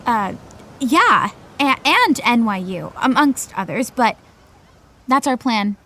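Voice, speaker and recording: A teenage best friend with a high, girlish voice. She is blunt, chatty and a bit starstruck, talking in casual slang in a natural audio drama style. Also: sing-song, slow pace, pushed and bright, a little hiss or hum, heavily acted. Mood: chatty, planning.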